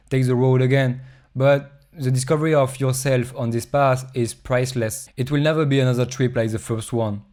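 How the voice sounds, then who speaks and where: Man, reflective voice-over, calm short phrases, monotone delivery, mid pitch. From Europe. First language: French. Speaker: travel vlogger. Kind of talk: reflective scripted voice-over narration.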